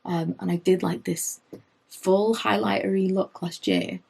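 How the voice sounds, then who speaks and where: A woman in her late thirties, slightly hoarse from losing her voice, chatting through her makeup favourites and wandering off on tangents. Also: fairly low pitch, measured pace. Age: late 30s. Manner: rambling, goes off on tangents; animated, rises when indignant. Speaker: beauty vlogger.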